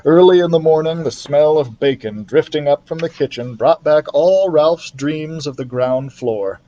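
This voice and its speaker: A man's voice: fairly high pitch, measured pace, warm tone, lo-fi sound. A man narrating a children's story expressively, as a library storyteller.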